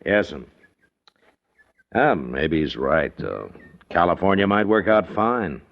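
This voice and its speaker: Deep-voiced American western marshal. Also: male voice, expressive, takes it slowly, creaky, heavily acted. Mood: calm, persuasive. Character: grown man, calm plain-spoken frontier US marshal. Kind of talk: old-time radio western acting. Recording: dull noisy old radio recording.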